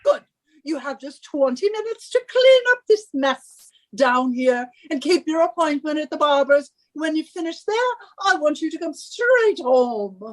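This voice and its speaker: A woman's voice: high-pitched, very animated, a little slow, rough recording. A woman playing a bossy, scornful wife who nags and scolds in a suspense radio play. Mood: bossy, impatient. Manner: imperious, scolding, henpecking. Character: bossy, nagging British wife of a professor, scornful.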